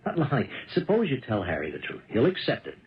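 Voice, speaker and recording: Pushy brother, lively old-radio delivery. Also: male voice, mid-range voice, quick pace. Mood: persuasive. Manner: probing, insistent, colloquial. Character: meddling, persuasive brother, shrewd and insistent. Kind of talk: 1970s radio drama acting. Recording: dull, muffled old radio recording.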